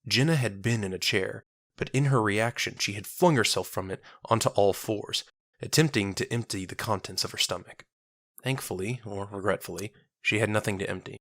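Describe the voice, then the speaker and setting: A narrator reading a scripted audio drama in a measured, solemn, dramatic manner. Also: low-pitched, a bit bright, no room sound, studio quality.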